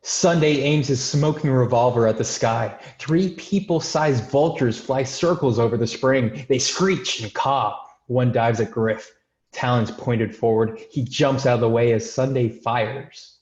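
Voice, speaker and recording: A man's voice: medium pitch, a little slow, a little mellow, reverberant, muffled recording. A man reading screenplay stage directions as scripted narration.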